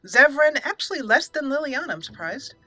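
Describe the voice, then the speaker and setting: Chatty gamer lady narrating her roleplay playthrough, bright voice, game music behind. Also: high voice, sing-song, unhurried, no echo. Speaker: let's play host. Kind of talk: casual game commentary with roleplay.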